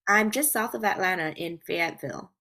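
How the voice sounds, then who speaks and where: A middle-aged woman with a low voice and noticeable vocal fry chats casually as a podcast guest. Her tone is bright and forward, cutting clearly through the conversation. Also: a little fast. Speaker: podcast guest, life coach.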